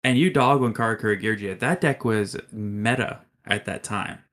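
A man's voice: on the low side, moderate pace, a bit bright. A man in his mid-twenties hosting a podcast in a casual, teasing, bantering manner. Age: mid-20s. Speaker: podcast host. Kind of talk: casual chat.

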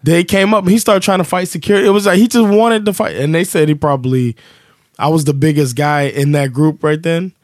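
A man co-hosting a casual podcast, telling a story in English, animated and laughing, acting out the quotes. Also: a little high, fast talker, dry recording, clean studio sound. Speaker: podcast co-host and comedian.

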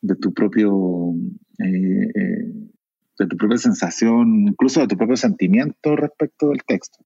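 A Chilean novelist in his forties with a Chilean Spanish accent, speaking thoughtfully, with many pauses and fillers. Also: lowish voice, creaky, warm tone, slightly rough, no room sound, dull audio. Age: 40s. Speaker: novelist guest. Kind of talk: reflective conversation.

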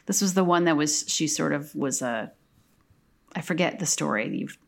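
Low-pitched female co-host, dry and relaxed. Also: normal speed, vocal fry. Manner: dry, laid-back, lots of quiet agreement sounds. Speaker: podcast co-host. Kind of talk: casual chat.

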